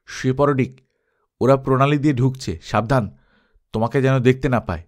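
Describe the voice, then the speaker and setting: A man's voice: mid-range voice, brisk, natural voice. A man voicing a cautious male adventurer in an audiobook, with an urgent, commanding manner. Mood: hushed warning. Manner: urgent, hushed at times, commanding. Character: cautious German adventurer giving urgent orders.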